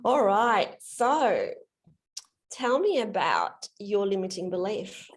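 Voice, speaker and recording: A woman's voice: mid-range voice, big pitch swings, slow pace. A woman coaching a guest through his limiting beliefs on a video call, in a friendly, explanatory way. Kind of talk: live coaching demonstration in an online session.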